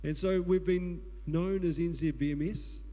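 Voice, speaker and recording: A man with a New Zealand accent and a booming voice preaching a sermon, putting earnest questions to the congregation. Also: fairly even intonation, normal speed, mellow. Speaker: guest preacher. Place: church hall. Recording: long hall reverb.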